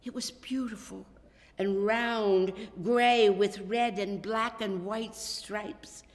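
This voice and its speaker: A woman reading a children's story aloud as storyteller, expressive, dramatic and deliberate. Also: mid-range voice. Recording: reverberant hall.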